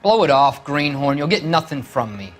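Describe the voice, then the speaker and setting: An actor playing a surly, cornered small-time car thief with an American accent in a crime drama game, slangy and defensive. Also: male voice, fairly high pitch, animated, normal speed, over music, natural voice. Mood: defiant.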